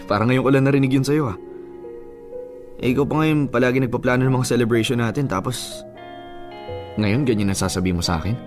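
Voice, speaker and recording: A male actor as a possessive young boyfriend in a radio drama. He sounds hurt and pleading, with an ordinary mid-range voice. Music plays clearly behind him. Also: mid-range voice, a little fast, long pauses, natural voice. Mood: hurt, suspicious.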